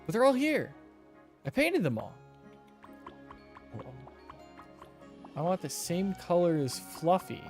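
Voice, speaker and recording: Man putting on an eager high-voiced little kid. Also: wildly swooping pitch, slow, pauses often, a little mellow, faint music behind, heavily acted.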